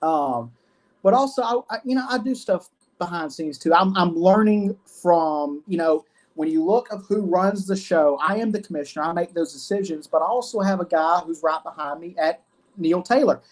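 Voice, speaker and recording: A man's voice: high-pitched, normal speed, low-quality recording. A man in his twenties, a wrestling guest answering earnestly and often repeating himself. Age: 20s. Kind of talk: casual interview.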